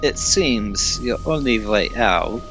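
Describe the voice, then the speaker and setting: An actor playing a smug, theatrical villain with a condescending, mock-courteous manner, in a comic audio drama. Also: male voice, lowish voice, lively intonation, slow pace, a bit bright, soft background music, exaggerated voice, rough recording. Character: smug, theatrical Soviet villain who is more than human.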